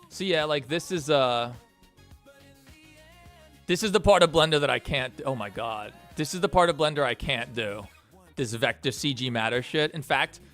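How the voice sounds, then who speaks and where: A man with a New York accent chatting casually as he works on animation, animated, joking and prone to swearing. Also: medium pitch. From Queens, New York. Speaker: streamer and animator. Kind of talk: casual reacting and chat while working.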